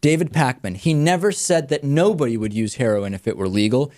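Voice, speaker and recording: A man's voice: medium pitch, brisk, a little mellow. An American man hosting a talk show, giving conversational commentary and reading out audience mail.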